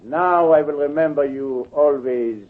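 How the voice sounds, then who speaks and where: A man's voice: a little high, a little slow, close to an everyday voice. A man playing a silky villain. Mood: gracious. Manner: silky, theatrical, coldly menacing. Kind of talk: 1940s radio acting. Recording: tinny old radio broadcast.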